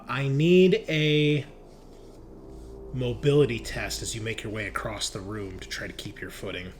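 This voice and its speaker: Man game master over clear background noise. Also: mid-range voice. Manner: halting, improvised descriptions with throat clearing. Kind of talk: narrating a tabletop roleplaying game.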